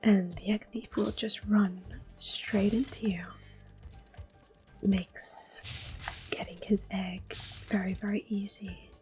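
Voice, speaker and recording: A woman whispering close to the mic as she calmly plays through an old game and recalls it fondly. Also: mid-range voice, slow pace, pauses often. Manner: soft whispering, gently nostalgic. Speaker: ASMR gameplay host. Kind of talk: whispered ASMR game commentary. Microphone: close sensitive mic. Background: faint game music.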